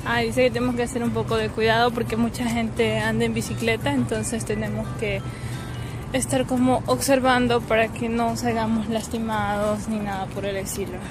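A young woman from Ecuador chats to her viewers in a fairly high voice with an Ecuadorian accent. Her delivery is casual and giggly, the talk of a handheld outdoor vlog. Music plays clearly underneath her. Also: flat delivery, normal speed. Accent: Ecuadorian Spanish. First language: Spanish. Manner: chatty, giggly. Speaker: vlogger. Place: outdoors on a riverside path at night. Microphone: handheld phone or camera.

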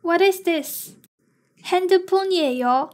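A woman slowly reading lesson phrases and their translations with an even, spaced delivery. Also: extremely high pitch. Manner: slow, evenly spaced delivery. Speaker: lesson reader.

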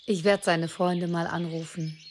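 Woman acting a worried, slow-spoken mother. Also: lowish voice, fairly even intonation, natural voice. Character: worried, pleading mother of missing son. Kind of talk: hobby German radio play acting. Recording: faint noise with birdsong.

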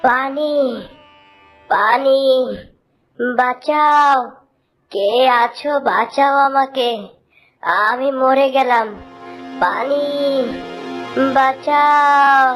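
A woman voicing a wounded, desperate fairy-tale cartoon character, pleading for help in drawn-out groans. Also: high-pitched, a bit bright, full character voice. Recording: muffled, under clear music.

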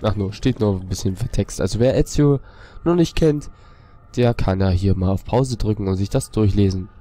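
Man with a cold, casual gameplay commentary, low voice, mellow tone, game music behind. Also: moderate pace, a little hiss or hum. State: has a cold. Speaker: let's play host.

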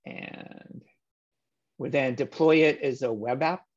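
Man, 30s, meetup coding presenter; slow, gravelly, filler-heavy delivery; muffled video-call audio. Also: mid-range voice. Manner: relaxed, explanatory, many "um" fillers. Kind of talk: screen-share coding walkthrough. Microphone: webcam or laptop mic on a video call.

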